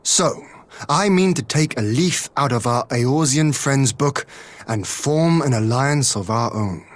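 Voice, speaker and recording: A man playing an assured, idealistic young lord in a fantasy game, speaking in formal, measured, eloquent phrases. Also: mid-range voice, animated. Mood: resolute. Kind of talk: scripted fantasy game acting.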